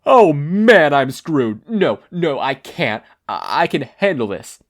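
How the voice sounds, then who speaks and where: A man's voice: a little high, sing-song, slow pace, voice pushed up, full character voice. A man voicing a supervillain mastermind in frantic denial, nervously stammering and reassuring himself in a fan fiction reading. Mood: panicked denial.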